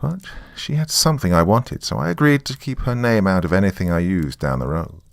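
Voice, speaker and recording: Haunted male writer, performed by a male narrator: low, dry close sound, wry first-person horror confession. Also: expressive, fairly quick, vocal fry, no room sound. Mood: grave, confiding. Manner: musing, wry, conversational asides. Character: weary, frightened male writer recording a confession on tape.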